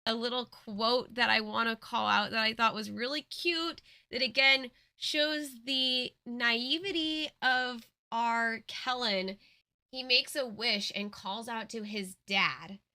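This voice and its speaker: Unhurried, bright-voiced woman co-host. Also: fairly high pitch. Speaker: co-host and storyteller. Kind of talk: casual commentary and story retelling.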